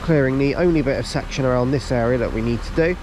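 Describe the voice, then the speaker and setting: A man's voice: medium pitch, fast. A man with a stuffy, blocked-up voice from a cold, chatting casually as he vlogs from railway station platforms, with lots of ums and restarts. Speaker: railway vlogger. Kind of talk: casual vlog narration. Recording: outdoor noise on camera mic.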